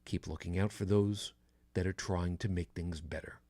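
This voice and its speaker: A man's voice: low-pitched, fairly even intonation, normal speed, warm tone, no echo. A man in his fifties hosting a podcast, chatting casually in a rambling way with many restarts. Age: 50s. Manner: rambling, frequent restarts and fillers. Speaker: podcast host. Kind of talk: casual chat.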